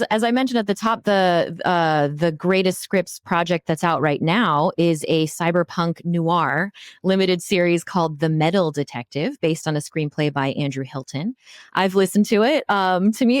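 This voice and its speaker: Lowish-voiced woman hosting a podcast. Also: no echo, studio quality. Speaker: podcast host, interviewer. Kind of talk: casual conversational interviewing.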